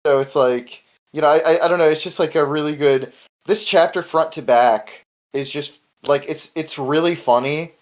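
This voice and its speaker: A young man hosting a casual discussion podcast, retelling things in an animated way with frequent swearing. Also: fairly high pitch, normal speed. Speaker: podcast host.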